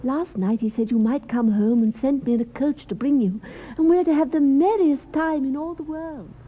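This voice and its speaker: An actress playing an eager, loving young girl, gushing with excitement, in an old radio drama. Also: high voice, moderate pace, close to an everyday voice. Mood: joyful, affectionate. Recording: thin, tinny vintage radio broadcast.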